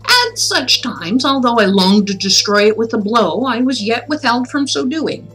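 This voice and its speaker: Woman streamer w kinda high voice, reads stories out loud n chats playfully, soft music in back. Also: rough recording. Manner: measured, expressive reading; playful, chatty asides. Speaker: livestream host reading classic tales aloud. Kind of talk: story reading aloud with casual chat asides.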